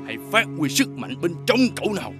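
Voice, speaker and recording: Casting: a sly talking gecko in a cartoon, insinuating mentor, highly animated delivery, raised pitch, music underneath. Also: male voice, fast. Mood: coaxing, encouraging. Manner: insinuating, coaxing, with theatrical emphasis. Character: sly talking gecko, male, scheming, goading martial mentor. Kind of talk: animated fairy tale voice acting.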